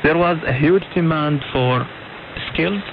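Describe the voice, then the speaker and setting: A man's voice: fairly high pitch, unhurried. A young man speaking non-native English in short phrases as an interview guest, recounting his own experiences over a shortwave radio broadcast. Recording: narrow, noisy shortwave radio reception. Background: clear radio static and noise.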